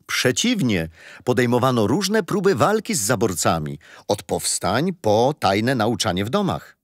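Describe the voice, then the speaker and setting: An actor playing a patient, gentle grandfather telling children a history story in a radio play. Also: medium pitch, big pitch swings, unhurried, no echo, clean studio sound. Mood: patient, fond. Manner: gentle, didactic.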